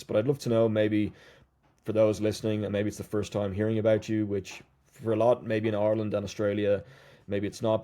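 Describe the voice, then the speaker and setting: Male podcast host, low flat voice, mellow tone, rambling hedged questions. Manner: rambling, hedging questions with many "maybe". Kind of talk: podcast interview intro.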